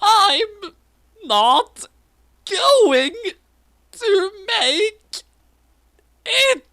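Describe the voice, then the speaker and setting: A woman acts out a hysterical young woman from a parody story. The voice is extremely high and shrill, leaping around in exaggerated exclamations. It is drawn out and squealing. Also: big pitch swings, drawn-out words, a bit bright, exaggerated voice. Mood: strained, determined. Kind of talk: parody dramatic reading.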